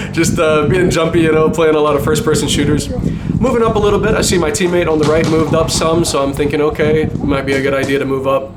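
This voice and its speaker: A man's voice: a little high, a little fast, very noisy. A man giving casual voice-over commentary on his own paintball game footage, chatty and self-deprecating, with small chuckles.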